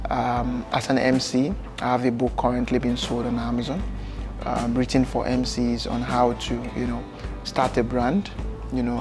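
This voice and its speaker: A young Nigerian man answers interview questions in a casual, relaxed way, filling pauses with "um" and "you know". His pitch stays flat and even. Music runs clearly behind him in a bright lounge. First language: Yoruba. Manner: relaxed, gestures with hands, fills with "you know" and "um". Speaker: guest. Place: bright lounge with sofas, indoors.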